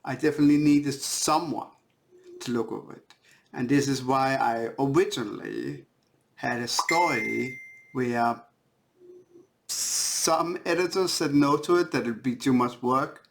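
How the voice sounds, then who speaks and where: A man from Denmark, a native Danish speaker with a Danish accent, talks as an author in a halting way, searching for words and repeating phrases. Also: mid-range voice, creaky. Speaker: indie author. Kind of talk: talking to camera about own writing.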